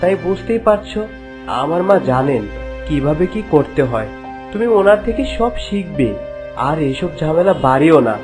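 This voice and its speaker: A man's voice: a little high, animated, normal speed, over music, close to an everyday voice. A man playing a stern young prince in a fairy-tale cartoon, speaking in a reproachful way. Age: young adult. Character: stern young prince of twenty-eight, devoted to his mother.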